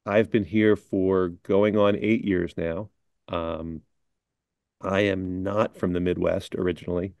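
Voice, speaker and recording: A middle-aged man who is a chief editor, talking on camera in a measured, wry way. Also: deep voice, fairly even intonation, darkish tone, dry recording. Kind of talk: casual on-camera chat.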